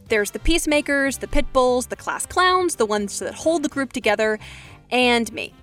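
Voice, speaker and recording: A woman with a slightly high voice hosts a scripted how-to podcast. She talks conversationally and slips in wry, self-aware asides, with music faintly behind her. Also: fairly bright tone. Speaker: podcast host.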